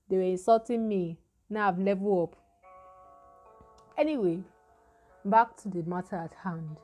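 A young woman with a Nigerian accent and a naturally deep voice, telling a story in casual chat and acting out the lines of the people in it. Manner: animated storytelling with quoted dialogue.

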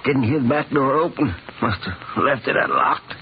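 A man's voice: medium pitch, lively intonation, close to an everyday voice. Weak, breathless wounded townsman played by a man. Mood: pained, bewildered. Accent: American. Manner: halting, repetitive. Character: wounded express clerk, gasping and bewildered. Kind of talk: 1950s radio western acting. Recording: muffled, faintly noisy 1950s radio recording.

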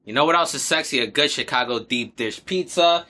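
A man's voice: mid-range voice, brisk, sharp tone. A man hosting a mock draft podcast, playful and jokey. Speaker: podcast host.

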